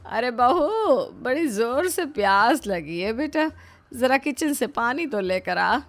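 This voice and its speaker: A woman's voice: a little high, animated, slow pace. A woman acting a fussing, coaxing middle-aged mother-in-law. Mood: demanding. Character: middle-aged mother-in-law, caring, hesitant, slyly demanding. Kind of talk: dramatized Hindi family storybook.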